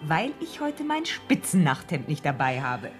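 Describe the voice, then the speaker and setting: Music behind, teasing young policewoman, animated intonation, playful, radio crime drama. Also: sits low, normal speed.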